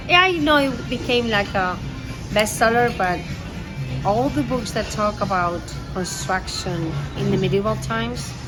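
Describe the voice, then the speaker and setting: A woman from Spain whose first language is Spanish, a tour guide answering interview questions in a lively way, laughing often and searching for words. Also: fairly high pitch, poor audio.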